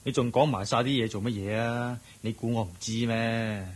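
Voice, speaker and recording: A male actor playing an excitable, teasing companion who is game for mischief, in a Hong Kong Cantonese radio drama, with a husky voice. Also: medium pitch, moderate pace, close to an everyday voice. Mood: knowing. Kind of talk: Buddhist radio drama acting.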